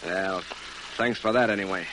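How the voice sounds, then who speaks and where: A man's voice: low voice, moderate pace, natural voice. A man playing a seasoned merchant ship captain in a classic radio drama, dry and plainspoken, on an old noisy recording. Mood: skeptical, wry.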